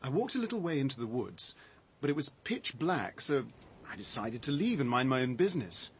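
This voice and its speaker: A shaken, sardonic man, widely varied pitch. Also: big pitch swings, a little hiss or hum. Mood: uneasy recounting. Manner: halting, reflective, dry and sardonic. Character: shaken man recounting his discovery, defensive, sardonic. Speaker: radio drama actor. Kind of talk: radio drama dialogue.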